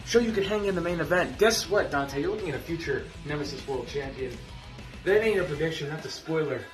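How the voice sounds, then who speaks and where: A man cutting a trash-talking wrestling promo, taunting his opponent with loud bursts and low sneers. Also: quick pace. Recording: echoey room, far from mic, music behind.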